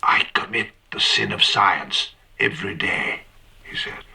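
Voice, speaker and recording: A man's voice: deep voice, lively intonation, measured pace, gravelly, sharp tone, exaggerated voice. An actor playing a boozy, flippant British man telling his story under questioning in a radio drama, wry, clipped and theatrical. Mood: intense, remembering.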